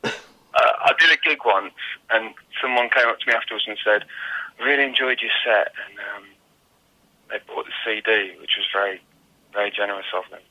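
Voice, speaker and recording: A man's voice: fairly low pitch, brisk, pushed and bright, rough recording. A man answering casually as a musician guest in an interview, reflective and searching for his words. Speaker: guest musician, singer-songwriter.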